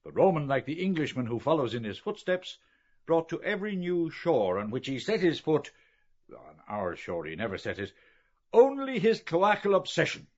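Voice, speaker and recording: A man's voice: fairly high pitch, very animated, moderate pace, pitched above the performer's own voice. A man reading an audiobook, voicing an older learned professor who speaks in sweeping, oratorical rhetoric. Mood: declaiming. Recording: dull lo-fi recording.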